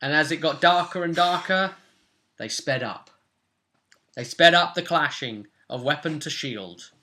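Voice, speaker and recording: A man's voice: on the high side, unhurried, sharp tone. A man in his twenties casually recapping a tabletop role-play session as game master, telling it with dramatic flair in a room at home. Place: small furnished room at home, indoors.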